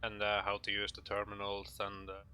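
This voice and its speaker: A man with a Norwegian accent giving an informal game tutorial in English, speaking hesitantly in halting phrases. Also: on the low side, monotone, pushed and bright, smooth. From Norway. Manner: hesitant, halting delivery with pauses. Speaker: video tutorial narrator.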